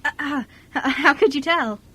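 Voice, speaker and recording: A woman's voice: high-pitched, normal speed, fairly bright tone, pitched above the performer's own voice, poor audio. A woman playing a flustered, friendly young orphanage worker in an amateur radio play, eager and apologetic.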